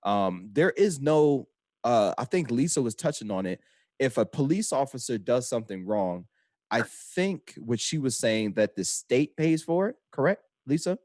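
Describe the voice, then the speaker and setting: A man's voice: mid-range voice, lively intonation, normal speed, a little mellow, no echo. Talkative Trinidad-born man, dry sound. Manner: loose, talkative, fond of slang. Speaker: host. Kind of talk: casual radio chat.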